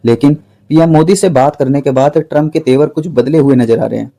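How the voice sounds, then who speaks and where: A man's voice: fairly high pitch, a little fast, creaky, warm tone. A man reading a scripted news narration in a formal, dramatic way.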